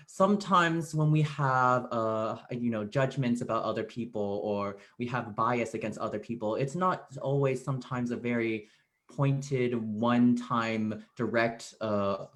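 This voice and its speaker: Halting man, mid-pitched. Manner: halting, playfully wordy. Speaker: theater director. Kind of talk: informal curtain speech.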